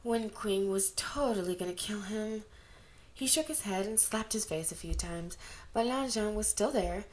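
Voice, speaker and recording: A woman reads fiction aloud in a mid-pitched voice. She narrates as an amateur audiobook reader, with an expressive way of acting out the characters. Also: low-quality recording.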